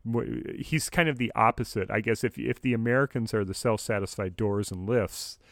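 A man co-hosting a casual podcast discussion, explaining things in a measured, slightly halting way. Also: lowish voice, creaky, no echo, clean studio sound. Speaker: podcast co-host.

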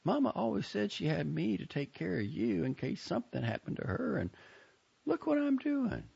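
Man doing a young woman, anxious older sister, high strained falsetto, swooping tone, storybook reading, muffled lo-fi sound. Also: big pitch swings, normal speed, vocal fry, darkish tone, husky, heavily acted. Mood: panicked. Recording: muffled lo-fi recording.

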